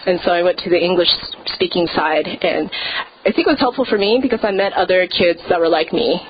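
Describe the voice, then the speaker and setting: Lower-voiced woman sharing testimony. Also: animated, brisk, slight background noise. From Canada. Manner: casual and conversational, with frequent hesitations. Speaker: pastor. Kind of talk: personal testimony at a church service. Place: church sanctuary. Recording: muffled lo-fi church recording.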